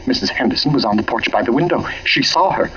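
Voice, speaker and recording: A man's voice: medium pitch, animated, quick pace, a bit bright, breathy edge. A man playing an anxious, guilt-ridden husband in 1940s radio mystery style, speaking urgently and earnestly. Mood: urgent, insistent. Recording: dull, muffled old radio recording.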